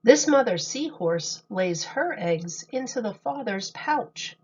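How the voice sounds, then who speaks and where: A woman teacher reading a picture book aloud to young children in a deliberate, expressive storytelling manner. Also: fairly high pitch, slightly rough, muffled recording.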